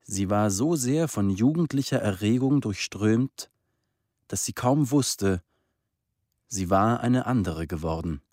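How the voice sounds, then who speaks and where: Deep, slow male narrator, dry studio. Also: animated, darkish tone, no room sound. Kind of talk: literary radio drama narration.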